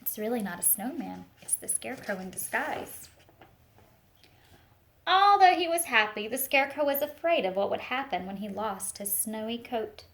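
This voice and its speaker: A woman reading a picture book aloud to young children, expressive and speaking to them directly with teacher-like questions and asides. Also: a little high, normal speed. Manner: expressive storytelling, talks directly to young listeners.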